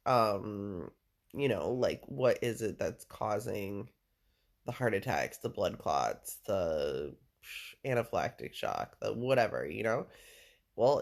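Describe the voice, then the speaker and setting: A man with a deep voice chatting casually and at length to a listener, rambling, full of fillers and casual swearing. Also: vocal fry. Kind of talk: casual personal chat with a listener.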